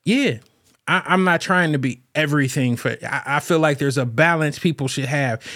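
A man's voice: a little high, moderate pace, a bit bright, dry recording, clean studio sound. A man hosting a show alone and talking casually about relationships, rambling with lots of fillers and restarts. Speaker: podcast host. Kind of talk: casual solo talk on relationships.

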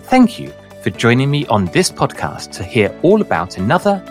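A man hosting a podcast and coaching clients, reading a scripted introduction in a measured way before interviewing a guest. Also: mid-range voice, big pitch swings, faint music behind.

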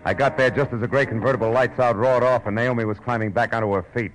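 An American actor playing a tough, wisecracking private detective in an old radio drama, terse and slangy. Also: male voice, medium pitch, steady tone, fast talker, close to an everyday voice. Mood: tense narration. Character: hard-boiled, wisecracking, suspicious male private detective. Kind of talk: 1940s-50s radio detective drama acting, first-person narration and dialogue. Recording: noisy old radio broadcast recording.

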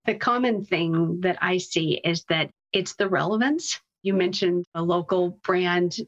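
A woman's voice: fairly low pitch, measured pace. A woman talking conversationally as an interview guest, giving explanatory answers as a marketing executive. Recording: slightly dull, lo-fi audio.

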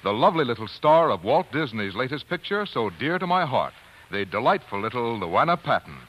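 A man's voice: mid-range voice, big pitch swings, brisk, muffled recording. A man announcing on 1940s radio, hosting a scripted sponsor chat. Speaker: announcer and commercial host. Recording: 1940s radio broadcast recording.

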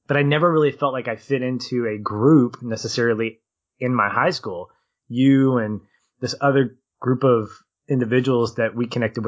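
A man from Arkansas who dropped his Southern accent talks in a casual film discussion on a podcast. He is animated, laughs easily and often restarts his sentences. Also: medium pitch, dull audio.

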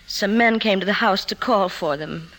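An actress playing a troubled woman confessing painful memories in an emotive, halting way, in 1950s radio drama style. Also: medium pitch, a bit bright, poor audio. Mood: troubled, remembering. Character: troubled career woman confiding painful childhood memories to her doctor. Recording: 1950s radio broadcast, music behind.

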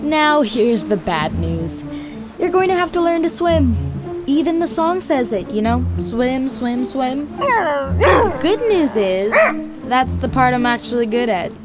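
A plucky young girl speaks in a high, unhurried voice. She is earnest, chatty and expressive, in the manner of an adventure audio drama. Loud music runs underneath her. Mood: coaxing, earnest. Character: plucky young girl adrift on a raft with a dog.

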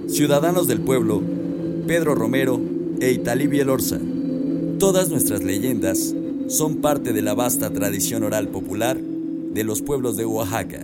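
A man reading the closing credits of a podcast in a measured announcing style. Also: medium pitch, over loud music. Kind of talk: scripted credits narration.

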